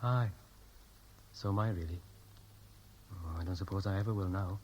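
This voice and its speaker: A man's voice: low voice, moderate pace, darkish tone, a little hiss or hum, natural voice. An actor playing an eager, dutiful, unworldly Welsh groom in his early twenties, boyish and hesitant, in a 1960s radio drama. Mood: hesitant, wistful. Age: young adult.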